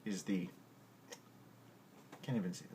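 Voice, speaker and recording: A man's voice: low-pitched, measured pace. A man hosting a music lesson podcast who keeps stumbling over his words, laughing at himself and muttering curses under his breath. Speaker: music podcast host teaching at the piano. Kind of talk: casual music lesson outtakes. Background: his own piano or guitar playing.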